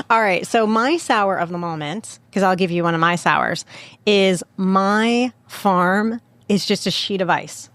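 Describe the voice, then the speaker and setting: A woman's voice: medium pitch, slow pace, a bit bright, dry recording. A woman hosting a local podcast in a playful, teasing, chatty way. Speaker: podcast host. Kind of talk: casual chat.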